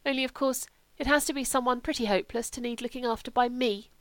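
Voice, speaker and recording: A woman's voice: a little high, steady tone, fast, no echo, pitched above the performer's own voice, natural voice. A woman voicing a frail, languid young upper-class Englishman in an audiobook, with a wistful manner. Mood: languid, resigned. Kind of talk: audiobook character reading.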